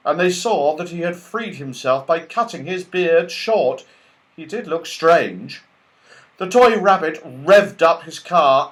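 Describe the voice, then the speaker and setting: A man reading a children's story aloud as an expressive narrator. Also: on the high side. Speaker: audiobook narrator.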